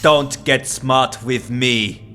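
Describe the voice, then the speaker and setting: A man's voice: medium pitch, fairly even intonation, extremely slow, pushed and bright, slight background noise. A man voicing a menacing, impatient anarchist punk in an audio drama, curt, demanding and threatening. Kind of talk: audio drama character reading.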